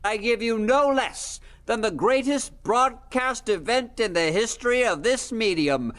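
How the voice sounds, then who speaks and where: A man's voice: very high voice, unhurried, a bit bright. A man playing a theatrical, earnest radio show host with a showman's delivery in an audio drama. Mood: grand, awed.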